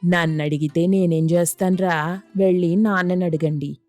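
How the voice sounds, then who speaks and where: Woman voicing a low-pitched, coaxing mother. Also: steady tone, measured pace, a little mellow, no echo, faint music behind, natural voice. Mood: matter-of-fact. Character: tender, pleading village mother and wife. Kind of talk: scripted moral story dialogue.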